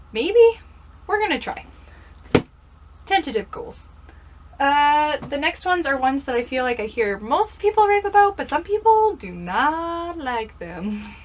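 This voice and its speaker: A woman's voice: high voice, a little hiss or hum, rough recording. A young woman chatting casually in a vlog style, playfully self-deprecating and often putting questions to herself. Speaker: book vlogger. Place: bedroom.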